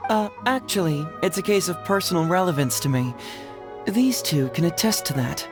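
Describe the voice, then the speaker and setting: A man playing a breezy, quick-witted young detective in a video game, casual in manner, with music behind him. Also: a little high, expressive, close to an everyday voice. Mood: evasive, breezy. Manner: casual, nonchalant. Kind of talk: scripted video game dialogue.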